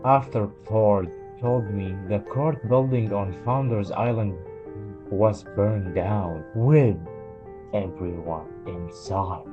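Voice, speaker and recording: A man narrating a horror video commentary in a halting way, pausing mid-sentence as he explains and reads out quotes. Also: on the low side. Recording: dull lo-fi voice recording. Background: background music.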